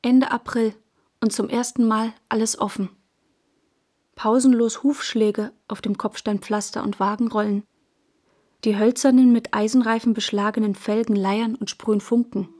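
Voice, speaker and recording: High-pitched woman narrating literary memories. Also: level tone, moderate pace, darkish tone. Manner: first-person, present-tense storytelling. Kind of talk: literary narration in a radio feature.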